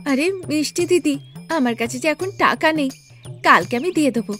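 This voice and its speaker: Cheeky schoolchild, cartoon, woman performer: high-pitched, wheedling, pleading, music bed. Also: lively intonation, normal speed, fairly bright tone. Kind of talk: cartoon story character acting. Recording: music behind the voice.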